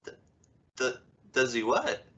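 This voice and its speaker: A man's voice: medium pitch, big pitch swings, takes it slowly, very noisy. An actor playing a wry, flustered father in an old-time radio comedy, stammering with exasperation. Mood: wry, resigned. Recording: dull, lo-fi radio-play audio.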